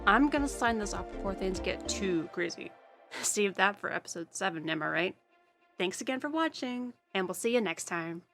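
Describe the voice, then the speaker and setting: A young woman delivers scripted recap commentary, sounding chatty and inquisitive. Her voice is mid-pitched, with music clearly playing behind it. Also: expressive, moderate pace. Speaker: co-host.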